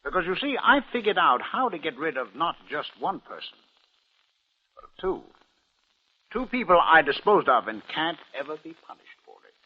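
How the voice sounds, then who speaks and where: Boastful schemer, swooping husky voice. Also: male voice, fairly high pitch, sing-song, normal speed. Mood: boastful, cold. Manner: boastful, self-satisfied, theatrical. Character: boastful, scheming male businessman, smug killer. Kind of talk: 1950s radio drama acting. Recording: muffled vintage radio broadcast.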